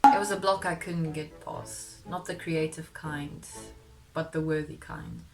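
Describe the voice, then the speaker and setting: Low-voiced young woman, Afrikaans first language. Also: fairly even intonation, measured pace, a little mellow, poor audio. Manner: candid, self-deprecating, laughs mid-sentence. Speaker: vlogger. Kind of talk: confessional vlog narration. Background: faint music.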